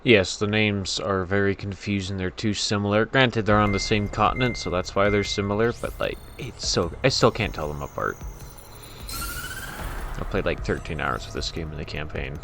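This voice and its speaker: Low-voiced man with very animated pitch swings. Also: normal speed. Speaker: gaming video host. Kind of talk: casual rambling game commentary. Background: faint game music.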